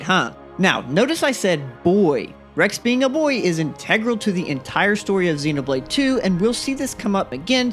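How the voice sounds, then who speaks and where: Animated woman narrating a video essay. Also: on the low side. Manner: casual, conversational asides. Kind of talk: scripted analysis narration. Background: background music.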